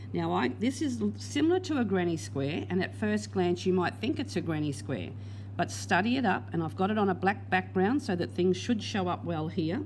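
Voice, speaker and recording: A woman's voice: on the low side, darkish tone. Woman, crochet teacher, losing her voice, patient step-by-step talk, faint hum behind. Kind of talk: crochet tutorial.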